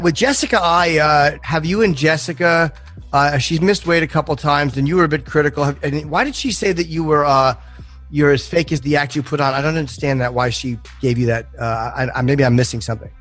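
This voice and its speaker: A man asking casual interview questions in a halting, puzzled way. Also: fairly high pitch, fairly bright tone, soft background music.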